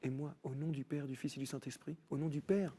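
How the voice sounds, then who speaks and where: A man with a slightly high voice tells his faith story as a studio guest. He slips into the voices of people he quotes. His delivery is animated. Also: a little fast, slightly rough. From France. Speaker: guest, musician. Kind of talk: personal faith testimony. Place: TV studio set.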